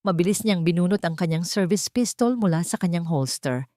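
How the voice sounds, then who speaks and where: A woman narrates a dramatic story in a fairly low register. She reads scripted first-person prose as a storyteller, and the sound is very dry, with hardly any room around it. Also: animated, a little fast, warm tone, no echo.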